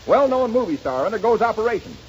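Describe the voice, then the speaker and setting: An actor playing a brash newspaper gossip reporter with snappy, urgent delivery in a 1930s radio comedy. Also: male voice, high voice, sing-song, fast talker. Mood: brisk, urgent. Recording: dull, hissy 1930s radio recording.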